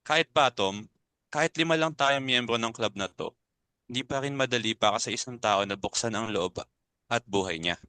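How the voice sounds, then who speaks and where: A man acting a protective older club elder in a dramatic reading, reasoning and gently admonishing. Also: mid-range voice, normal speed, clean tone, dry recording, lightly acted. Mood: reasoning, firm. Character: Tito Dudes, protective, reasoning older male club member.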